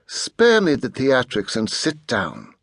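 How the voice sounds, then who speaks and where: A man voicing a pale, testy adolescent mastermind in a soft, clipped voice, speaking formally and with authority in an audiobook. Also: mid-range voice, huge pitch swings, slow, creaky, slightly rough, dry recording, full character voice. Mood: haughty, testy. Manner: clipped, precise, formal speech.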